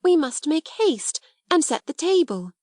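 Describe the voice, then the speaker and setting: A woman's voice: fairly high pitch, expressive, moderate pace, darkish tone, dry recording, studio quality, voice pushed up, close to an everyday voice. A woman voicing an imaginative, kind young girl in a storybook, exclaiming breathlessly. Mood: cheerful wonder.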